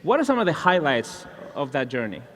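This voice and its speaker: A man from Russia, interviewing a fellow minister in a friendly, halting way with many restarts. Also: on the high side, sing-song, a bit bright. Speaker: interviewer, evangelist. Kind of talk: conversational interview.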